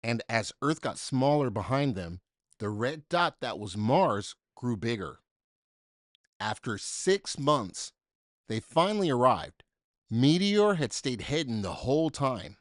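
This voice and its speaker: A man reads a children's storybook with a lively, swooping delivery. His pitch sits in a middle range and moves widely, with deliberate, dramatic emphasis. The recording is dry and close. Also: no echo. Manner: dramatic, deliberate phrasing with emphasis for young listeners. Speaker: storybook reader. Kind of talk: expressive children's story read-aloud.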